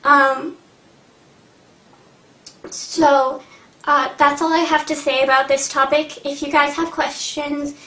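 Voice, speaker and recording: Young woman vlogger, high voice, halting and earnest, echoey room. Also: level tone, sharp tone. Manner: hesitant, many ums, earnest. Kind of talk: casual talking-to-camera update.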